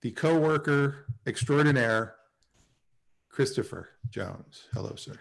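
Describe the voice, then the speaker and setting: A man's voice: mid-range voice, animated, takes it slowly, vocal fry. A man in his forties casually hosting and introducing people on a video call livestream. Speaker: host and writer.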